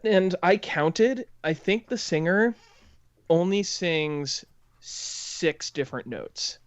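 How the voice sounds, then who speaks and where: A man co-hosting a casual review podcast, building his points haltingly and rephrasing as he goes. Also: sits high, animated, clean tone, no echo. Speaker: podcast co-host reviewing music. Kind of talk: casual chat, critique. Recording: dry, slightly muffled lo-fi audio.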